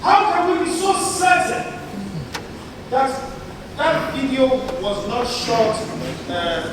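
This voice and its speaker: An actor playing an indignant, bombastic defense lawyer in a satirical stage play, a man with a Nigerian accent declaiming grandly with drawn-out emphasis. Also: very high-pitched, sing-song, full character voice. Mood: indignant, challenging. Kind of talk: projected theatrical courtroom oratory. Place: large theatre stage, picked up from afar. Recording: distant echoey hall recording, noisy.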